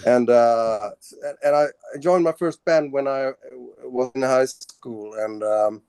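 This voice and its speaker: A man's voice: medium pitch, takes it slowly, dark tone, clear, resonant tone, no room sound. A man from Sweden, a band's singer, answering interview questions casually, with many fillers and restarts. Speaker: band vocalist.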